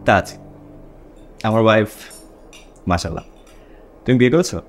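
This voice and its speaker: A man's voice: lowish voice, lively intonation, close to an everyday voice. A man acting in a TV drama as a hesitant, evasive young Bangladeshi newlywed who works in an office. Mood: awkward, hesitant. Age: young adult. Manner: hesitant, halting.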